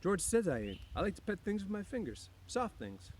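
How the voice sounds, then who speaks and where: A man's voice: sits high, very animated, brisk, a little hiss or hum, pitched above the performer's own voice. A man voicing an earnest, simple-minded, childlike big man with plain rural speech in an audiobook. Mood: earnest, insistent. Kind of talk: audiobook character voice.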